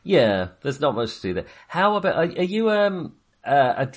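A man co-hosting a podcast, talking casually about films in a hesitant, halting way. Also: on the high side, very animated. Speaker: podcast co-host. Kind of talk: casual film discussion.

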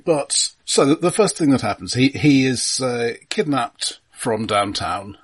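British man with a halting, husky delivery. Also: mid-range voice, expressive. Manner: halting, repeated word starts. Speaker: film podcast host. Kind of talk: casual film discussion.

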